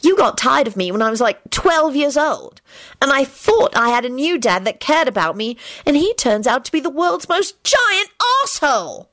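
A woman voicing a distraught, bitter teenage girl with a shrill, wailing and demanding delivery in an audiobook-style story. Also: big pitch swings, a little fast, hardly any pauses, pushed and bright, heavily acted.

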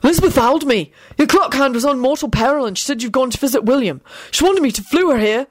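A woman's voice: high-pitched, expressive, fast talker, dry recording, pitched above the performer's own voice. A woman voicing a flustered male wizard who stammers with urgency in a story.

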